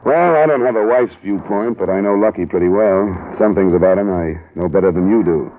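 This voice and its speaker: Low, muffled hardboiled radio detective, played by a man. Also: fairly quick, slight background noise, natural voice. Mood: steady, matter-of-fact. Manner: terse, casual, understated. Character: low-voiced hardboiled male private investigator, steady and probing. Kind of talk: 1950s radio drama acting with first-person narration. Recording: muffled old-time radio recording.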